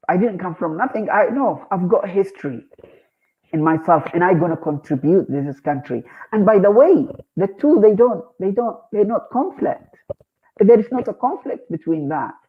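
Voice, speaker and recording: A man giving an earnest, exhorting community talk as a guest speaker, repeating phrases as he presses his point. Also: sits high. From Eritrea. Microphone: video call. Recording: tinny phone-like online call audio.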